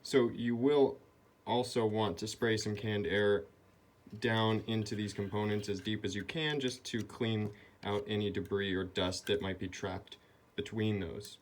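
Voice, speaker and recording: A man's voice: lowish voice, fairly even intonation, a little slow. A man giving a hands-on repair tutorial, explaining things step by step. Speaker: repair video host. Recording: clearly noisy background.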